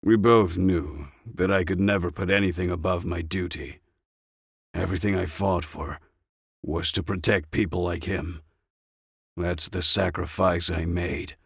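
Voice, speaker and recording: A man voicing a gruff, dutiful older male soldier with an understated, regretful manner in a story reading. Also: low voice, fairly even intonation, measured pace, dry recording, clean studio sound, heavily acted.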